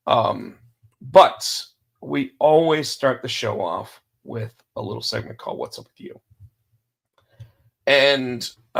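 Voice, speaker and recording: A man with a gravelly, crackling voice hosts a casual podcast on his own. He is under the weather and losing his voice, so he clears his throat often. He rambles along with fillers and restarts at an ordinary middle pitch. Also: fairly bright tone. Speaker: podcast host. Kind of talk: solo casual wrestling podcast talk.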